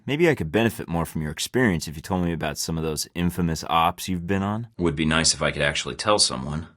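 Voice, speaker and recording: A man's voice: very deep voice, lively intonation, fast talker, a bit bright, no echo. An actor with an American accent playing a sarcastic young male spy agent in a video game, trading dry, wisecracking quips. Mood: wry.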